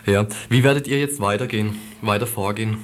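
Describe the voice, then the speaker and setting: Very low-voiced woman interviewing. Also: fast talker. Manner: attentive, frequent listening murmurs. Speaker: radio interviewer. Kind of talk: informal radio interview.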